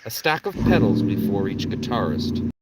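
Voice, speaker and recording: A man's voice: on the low side, sing-song, normal speed, very noisy. A man, an author reading his own novel aloud as an audiobook narration, with casual asides to listeners.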